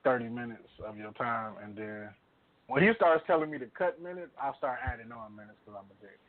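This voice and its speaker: Dude co-hosting radio, blunt and slangy, regular pitch, sounds like a phone line. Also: male voice, fairly quick. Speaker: radio show co-host. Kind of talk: casual chat. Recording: narrow tinny phone-like sound.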